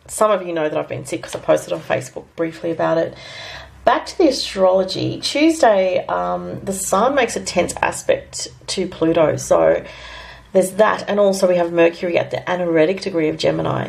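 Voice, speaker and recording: An Australian woman with a raspy voice, still recovering from illness, giving a casual weekly astrology and tarot forecast in a rambling, thinking-aloud way. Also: on the low side, a little mellow.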